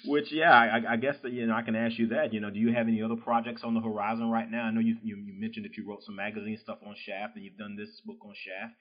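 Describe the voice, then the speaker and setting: A man with a Southern US accent hosts a casual interview, his low voice wandering through sentences padded with fillers. The sound is a little dull and lo-fi. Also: flat delivery, fast talker, few pauses. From southern United States. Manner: rambling, constant "you know" fillers, laughs easily. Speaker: podcast host interviewing an author.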